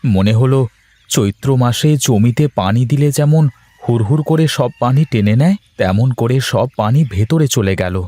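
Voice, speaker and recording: Guy doing a tired worried cartoon husband, medium pitch, grumbly and proud, homely talk. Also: animated, moderate pace, dark tone, no echo, lightly acted. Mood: weary, grumbling. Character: weary, worried married office worker, proud and plain-spoken. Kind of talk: Bengali cartoon voice acting.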